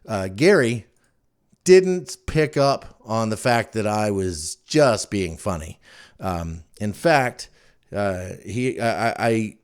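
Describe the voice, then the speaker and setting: A man hosting a podcast, telling stories casually in an informal, wry and amused way. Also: fairly low pitch. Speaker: podcast host. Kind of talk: casual rambling storytelling to listeners.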